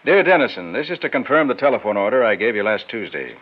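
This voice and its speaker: A man's voice: mid-range voice, very animated, fast talker. An actor playing a brusque, domineering businessman with clipped, commanding delivery in a 1960s radio drama. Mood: brusque, businesslike. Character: domineering, brusque, successful businessman, secretly nervous. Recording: muffled vintage radio recording.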